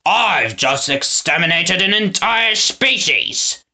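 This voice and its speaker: Reader doing a showy, gloating villain, voice leaping up and down, bright edge. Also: mid-range voice, sing-song, slow pace, creaky, pitched above the performer's own voice, full character voice. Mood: boastful. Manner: showy, swings from growling to frilly put-on voice. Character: scheming boastful villainous man. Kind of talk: storybook read-aloud.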